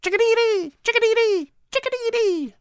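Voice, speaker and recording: A woman's voice: very high voice, moderate pace, no room sound, studio quality, voice pushed up. A woman voicing a cheerful, playful seven-year-old boy in a storybook. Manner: eager and playful. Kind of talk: storybook reading.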